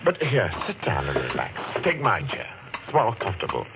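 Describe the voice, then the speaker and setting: A man's voice: deep voice, wildly swooping pitch, creaky, full character voice. A man acting in an old radio suspense play as a vengeful, precise clockmaker with a pedantic, coldly courteous manner. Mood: coldly polite. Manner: pedantic, deliberate, mock-solicitous. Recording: tinny, band-limited old radio recording, music behind.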